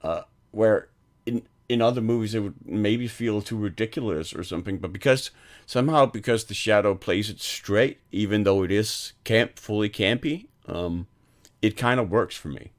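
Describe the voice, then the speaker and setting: A man's voice: on the low side, normal speed. A man co-hosting a film podcast, talking casually and rambling, with frequent "like" and "um" fillers. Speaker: podcast co-host.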